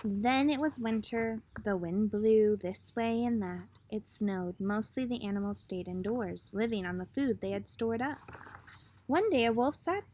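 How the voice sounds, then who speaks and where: A woman's voice: medium pitch, slight background noise. A woman reading a children's picture book aloud expressively, in the style of a teacher addressing young listeners. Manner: expressive, pausing to put questions to young listeners. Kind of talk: children's storybook read-aloud.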